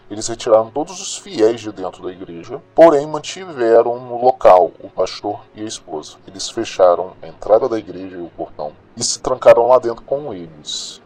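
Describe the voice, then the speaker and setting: Male actor as streetwise crime show host, Brazilian accent, soft dark timbre, sarcastic, opinionated, slangy, audio drama, faint music behind. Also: lowish voice. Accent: Brazilian Portuguese. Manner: colloquial, blunt, opinionated, slangy. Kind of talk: informal radio-style crime news commentary.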